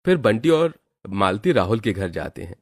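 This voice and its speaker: A man's voice: fairly low pitch, big pitch swings, moderate pace. A man narrating a scripted moral cartoon story as its storyteller. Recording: music bed behind the voice.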